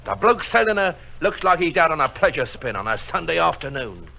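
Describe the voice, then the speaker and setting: A man playing an excitable working-class English soldier telling a yarn in a radio drama, with a gravelly voice and colloquial manner. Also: fairly high pitch, a little fast, slight background noise, full character voice. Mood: excited. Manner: animated, colloquial.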